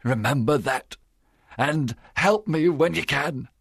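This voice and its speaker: Wily pirate leader, acted by a male narrator: loud, wheedling, rough-edged, very animated audiobook delivery. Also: a little high, measured pace, no room sound, clean studio sound, pitched above the performer's own voice, full character voice. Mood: earnest pleading. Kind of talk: audiobook character acting.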